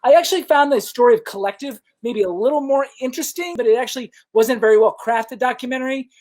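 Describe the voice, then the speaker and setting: Brisk man hosting a film podcast. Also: extremely high pitch, a little mellow. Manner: brisk, leads the discussion. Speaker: podcast host. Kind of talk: casual film review chat.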